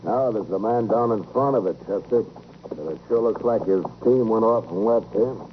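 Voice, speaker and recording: A man playing a steady, dry-humored American frontier marshal in 1950s radio western style, easygoing and wry. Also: fairly low pitch, moderate pace, lightly acted. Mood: calm, probing. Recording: muffled, noisy old radio recording.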